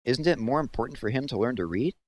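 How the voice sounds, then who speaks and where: A man's voice: mid-range voice, lively intonation, fast talker, a little mellow, voice pushed up, natural voice. A man voicing an earnest teenage boy, reasoning and mildly defiant, in a storybook. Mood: defensive.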